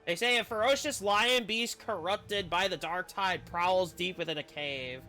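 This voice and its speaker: High, bright male game streamer narrating. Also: a little slow, faint music behind. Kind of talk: reading game story aloud with casual commentary.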